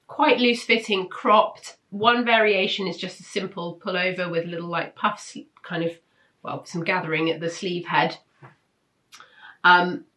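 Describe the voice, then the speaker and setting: A woman's voice: medium pitch, sharp tone. A British woman hosting a casual review vlog, chatty and enthusiastic, with a nasal, congested voice from a blocked nose and flu. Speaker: host of a weekly sewing pattern roundup. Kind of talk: casual chat review to camera.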